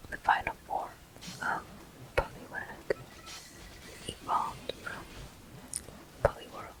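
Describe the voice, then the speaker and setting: A person whispering softly and breathily into a close microphone, narrating gameplay step by step in an ASMR style. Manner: soft, unhurried, gently narrating each step. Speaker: gaming video host. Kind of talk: ASMR whispered game commentary.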